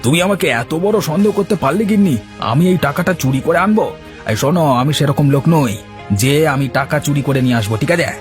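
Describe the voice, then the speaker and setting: A man's voice: a little high, lively intonation, fairly quick, faint music behind, close to an everyday voice. A man playing a boastful, quarrelsome village man in a cartoon, arguing in a blustering, defensive way. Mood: indignant. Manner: blustering, argumentative. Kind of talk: Bengali cartoon dialogue dub.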